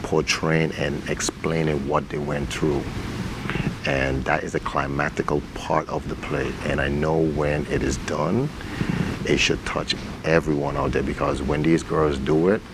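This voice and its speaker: Really deep creaky guy talking in an interview, kinda halting and thoughtful, lots of background noise. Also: steady tone. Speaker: stage play director and actor. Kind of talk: interview answers about a community stage play. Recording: noisy recording with rumbling ambience.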